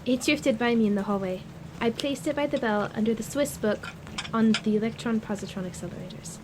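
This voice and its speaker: A woman's voice: on the high side, a little fast, noisy background, natural voice. A woman playing an earnest, supportive assistant with a precise, matter-of-fact manner in an audio drama.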